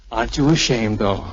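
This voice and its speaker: Lively young flyer, old radio. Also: male voice, medium pitch, husky. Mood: tender. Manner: breezy, playful banter. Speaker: actor in a radio play. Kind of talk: 1940s radio acting. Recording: dull old radio broadcast, music behind.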